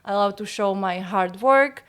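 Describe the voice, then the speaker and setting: Young European woman, non-native English speaker; blunt, emphatic podcast guest, mid-range pitch. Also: unhurried, fairly bright tone, clear, resonant tone. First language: not English. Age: young adult. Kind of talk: casual interview chat.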